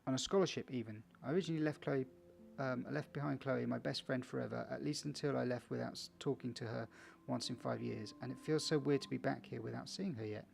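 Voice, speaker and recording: A man's voice: mid-range voice, quick pace, creaky, hardly any pauses, a little mellow, soft background music. A man playing a video game for viewers, casually reading its text aloud with small stumbles and chatty asides. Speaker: video game let's player.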